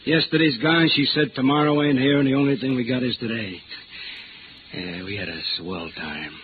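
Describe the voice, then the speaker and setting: A man's voice: medium pitch, normal speed, noisy background, close to an everyday voice. Tinny old-radio cocky salesman, acted by a man. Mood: smug, amused. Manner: slangy, breezy, chuckling talk. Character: cocky, chummy salesman, a boastful flirt. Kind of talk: 1950s radio drama acting.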